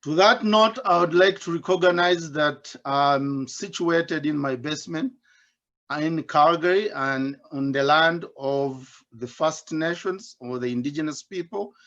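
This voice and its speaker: A man's voice: fairly high pitch, unhurried. Man speaking over muffled audio. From Uganda. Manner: warm storytelling with gentle self-mocking humor. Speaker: invited speaker, doctoral student. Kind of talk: personal reflective talk at an online symposium. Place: home basement. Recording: dull, muffled video-call audio.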